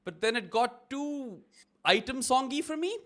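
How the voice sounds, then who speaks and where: A man's voice: very high-pitched, lively intonation, bright, forceful tone. A man in his thirties hosting a casual watchalong chat, teasing his guest and slipping in cricket updates. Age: 30s. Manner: teasing, quick laughing banter. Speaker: watchalong host.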